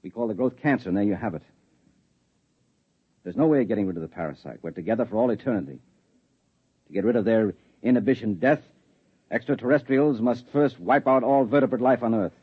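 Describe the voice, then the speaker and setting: A cool, secretive husband who explains dark truths in a calm, patronizing lecture. His husky, mid-pitched voice sits in a dull, lo-fi 1950s radio recording. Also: brisk, long pauses. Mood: lecturing. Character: controlled husband hiding a secret, a security man. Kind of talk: 1950s radio drama acting.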